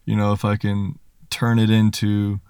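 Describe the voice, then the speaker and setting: A man who is a rapper and YouTuber, telling stories in a relaxed way with casual swearing on a podcast. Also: fairly low pitch, normal speed, clean tone, no echo, studio quality.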